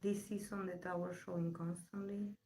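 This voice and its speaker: A woman reading tarot on a live stream and answering chat questions. Her voice is still recovering, and she talks in a slow, searching way, with pauses and repeated words. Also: on the low side, level tone, dark tone, a little hiss or hum. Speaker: tarot reader. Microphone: poor live-stream mic.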